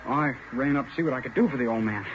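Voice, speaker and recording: An actor playing an earnest, polite young navy sailor as a hesitant eyewitness in 1940s radio drama style. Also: male voice, medium pitch, very fast, lightly acted. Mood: earnest, shaken. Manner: hesitant yet eager, polite. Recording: muffled, noisy 1940s radio broadcast.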